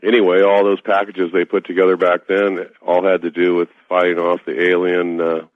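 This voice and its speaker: A man with a very low-set, flat voice speaks on talk radio. He is halting and hesitant, and his voice comes through a tinny, narrow phone line. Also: no room sound.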